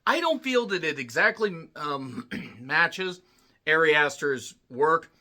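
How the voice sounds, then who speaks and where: A man with a slightly rough, worn voice delivers a film review in an unscripted monologue. He talks bluntly and forcefully, swears freely and keeps clearing his throat. His tone is sharp and bright. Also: fairly high pitch, expressive, takes it slowly. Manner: blunt, profane ranting with frequent throat clearing. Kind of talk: casual spoken movie review.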